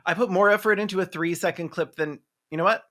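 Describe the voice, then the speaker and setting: A campy online host delivers comedic movie commentary at a medium pitch. The delivery is sarcastic and peppered with swearing, like someone openly mocking a film while reacting to it. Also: quick pace, a bit bright.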